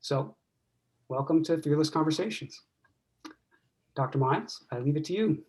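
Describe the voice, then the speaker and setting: Host, male, slightly high voice, measured and reflective opening speech. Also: expressive, a little mellow. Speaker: event host. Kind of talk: prepared opening remarks.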